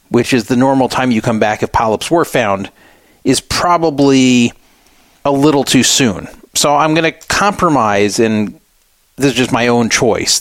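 A man's voice: medium pitch, dry recording. Middle-aged American man, casual dry-room talk. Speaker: radio show host. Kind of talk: casual personal storytelling.

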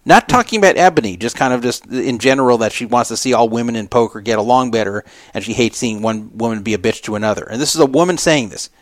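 A man with an average-pitched voice talks like an opinionated radio host. He circles back over his points in a rambling, emphatic way, stressing them by repetition. The sound is dry, with little room around it. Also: expressive, fast, few pauses, no room sound. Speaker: podcast host. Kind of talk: casual opinionated radio talk commentary.

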